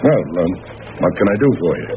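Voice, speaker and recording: Guarded police lieutenant, lively pitch. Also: male voice. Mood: official, guarded. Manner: matter-of-fact, halting official delivery. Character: weary, guarded, suspicious male police lieutenant. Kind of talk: old-time radio drama acting. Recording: narrow, noisy old radio recording.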